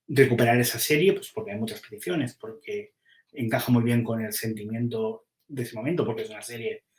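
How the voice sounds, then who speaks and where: A man's voice: mid-range voice, fairly quick, reverberant, rough recording. A man, a comics publisher, chatting casually on a podcast and thinking aloud as he weighs which titles to publish, with frequent restarts.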